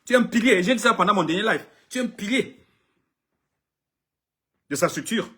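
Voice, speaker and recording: A husky, slightly high-voiced middle-aged man from Cameroon, native English speaker, delivering an impassioned address in French. He stresses his points, repeats phrases and trips over words as he defends his artist. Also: lively intonation, fairly quick, pushed and bright. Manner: emphatic, repeats himself, stumbles over words. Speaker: artist manager.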